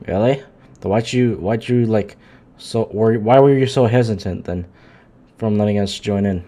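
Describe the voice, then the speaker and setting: A man live streaming a video game, reading lines aloud and commenting casually in a halting way. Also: lowish voice, mellow. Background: faint game music.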